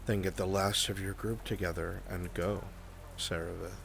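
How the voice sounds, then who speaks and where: A man playing a patient, cryptic dark sage in improvised fantasy roleplay, giving ominous counsel in a solemn, measured, portentous way. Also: sits low, flat delivery, gravelly, noisy background.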